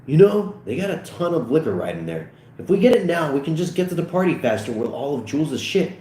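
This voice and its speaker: A pushy, foul-mouthed teenage schemer, voiced by a man in a comedy script reading. He is brash and very animated in pitch, with audible room echo. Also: fairly quick. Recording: echoey room.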